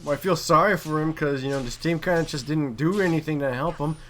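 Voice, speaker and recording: A man's voice: on the high side, fast talker, a bit bright, slight background noise. A man giving casual, chatty commentary on a video game replay as one of the players.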